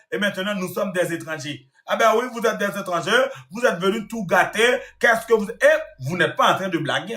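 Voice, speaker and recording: A man's voice: high voice, a bit bright, roomy sound. A Haitian-born man talking straight to his viewers in a scolding monologue, repeating himself for emphasis. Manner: scolding, repetitive, addresses the audience directly. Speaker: online commentator.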